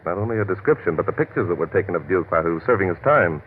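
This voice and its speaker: An actor playing a calm, courteous, wry city detective in an old radio mystery. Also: male voice, deep voice, narrow, tinny audio. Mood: affable, assured. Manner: measured, polite, wry. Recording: crackly, band-limited old radio recording.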